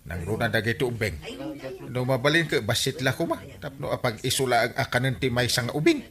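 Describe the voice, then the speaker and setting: An actor playing an earnest, persuasive village official in a radio comedy drama. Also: male voice, mid-range voice, very animated, brisk, a bit bright. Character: earnest village captain urging reform.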